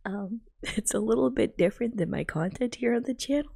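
A young woman giving gushing, casual reaction commentary in a hushed, half-whispered ASMR manner, close to the microphone. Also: on the high side, brisk, creaky, husky. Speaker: VTuber reacting to a game trailer.

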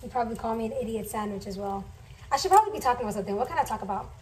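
A woman's voice: a little high, rapid-fire, a little mellow. A young woman chatting casually while she cooks in a home kitchen, rambling with lots of fillers. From Somali family. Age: young adult. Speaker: vlogger. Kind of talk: casual cooking vlog chat. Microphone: camera on counter, distant. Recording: distant camera mic, noisy.

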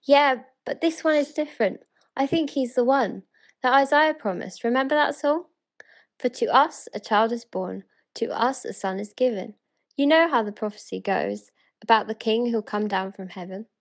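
A British woman playing an eager, wonder-struck townswoman in a dramatic verse reading, earnest and excited. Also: fairly high pitch, moderate pace. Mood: awed, earnest.

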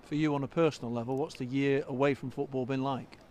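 A man, a sports interviewer, asking brisk, upbeat questions pitchside after a match. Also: steady tone, a little mellow. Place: outdoors pitchside.

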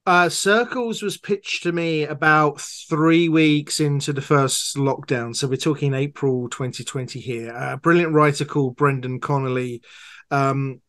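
A man's voice: fairly high pitch, fairly even intonation, a little slow, a bit bright, no echo, clean studio sound. A man answering interview questions as a guest audio drama producer, talking conversationally and with enthusiasm.

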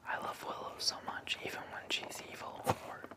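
Guy in his 30s whispering asmr, casual fan chat, close on the mic. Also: normal speed. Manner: casual, enthusiastic fan talk. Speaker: ASMR video creator showing a collection. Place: small living room indoors.

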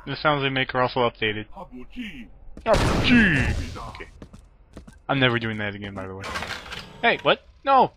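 A man's voice: mid-range voice, big pitch swings, normal speed, pauses often. Sarcastic male gamer over noisy game sound. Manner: playful sarcasm with short muttered asides. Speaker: let's play commentator. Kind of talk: casual gameplay commentary. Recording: game gunfire and ambience behind voice. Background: video game sound effects.

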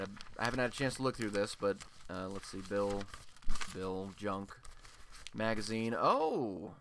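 A man's voice: mid-range voice, fairly bright tone, dry recording, lightly acted. A man playing a chatty, wry radio host in a superhero city, telling scripted comic stories in a colloquial, theatrical way for a radio play. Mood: casual, chatty.

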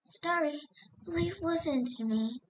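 A woman voicing an anxious, pleading younger-sister animal in a cartoon audition, earnest and halting. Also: very high-pitched, voice pushed up, low-quality recording. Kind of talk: character audition lines.

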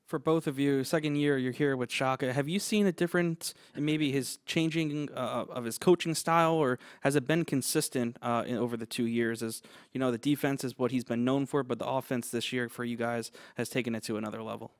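A reporter asks long, halting questions at a postgame press conference, circling back as he goes. His voice is smooth and resonant, sounding dry and close. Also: medium pitch, fairly even intonation, dry recording.

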